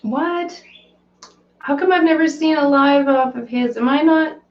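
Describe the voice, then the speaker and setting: Hoarse, chatty woman live streaming. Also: high voice, measured pace. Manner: chatty, rambling, reads and answers viewer comments. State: hoarse, voice cracking. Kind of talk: casual live stream chat. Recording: roomy, slightly dull home recording.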